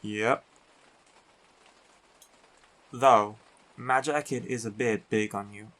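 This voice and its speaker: A man playing a big, teasing, affectionate biker werewolf boyfriend in an intimate roleplay audio, talking in an unhurried, colloquial way. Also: lowish voice, steady tone, a bit bright. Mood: playful teasing.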